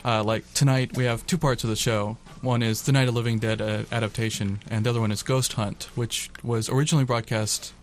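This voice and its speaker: A man's voice: mid-range voice, fairly even intonation, brisk, hardly any pauses, a little hiss or hum. A man being interviewed on radio as a member of a theater group, explaining things matter-of-factly. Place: college radio station.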